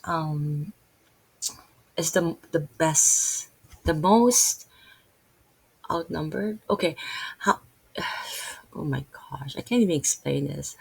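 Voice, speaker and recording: Gravelly Filipino-accented woman. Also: sits low, rough recording. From Philippines. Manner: rambling, halting, many fillers and restarts. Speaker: channel host. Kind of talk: casual unscripted video talk.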